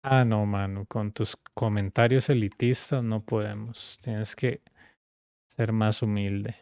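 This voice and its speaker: Low-pitched man chatting casually on stream. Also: fairly even intonation, a little slow, darkish tone, no echo, studio quality. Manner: informal, slangy banter, switching between Spanish and English. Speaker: live streamer. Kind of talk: casual gaming stream chat.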